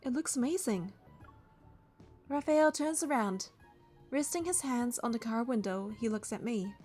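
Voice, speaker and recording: A woman with a fairly high voice reads the story of a video game aloud. She moves between narration and casual commentary, with light music playing behind her. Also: unhurried, darkish tone. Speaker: game playthrough creator reading story text aloud.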